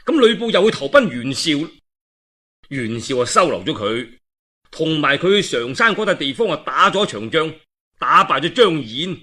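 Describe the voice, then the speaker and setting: Animated, bright-voiced Cantonese male storyteller. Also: a little high, normal speed. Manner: colloquial, animated, dramatic asides. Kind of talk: traditional oral storytelling of a historical novel.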